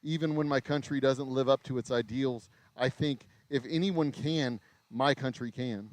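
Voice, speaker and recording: Tired, slightly raspy American man rambling. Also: mid-range voice, normal speed. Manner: rambling, self-interrupting, mild swearing. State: voice getting raspy from long talking. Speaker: distillery owner and livestream host. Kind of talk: casual livestream chat while working. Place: small craft distillery shop.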